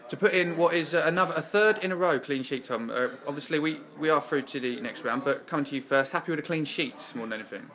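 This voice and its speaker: A young English man hosting a jokey post-match interview for a club channel, halting with many fillers and teasing his guests. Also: fairly high pitch, fairly bright tone.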